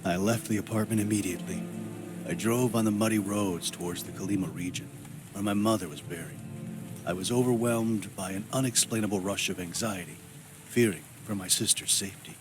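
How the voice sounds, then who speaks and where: An actor playing a distressed, brooding man in a video game who searches for his missing sister, speaking gravely and formally. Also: lowish voice, fairly quick, over music, natural voice. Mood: somber, anxious. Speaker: game protagonist and narrator. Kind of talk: dramatic video game voice acting.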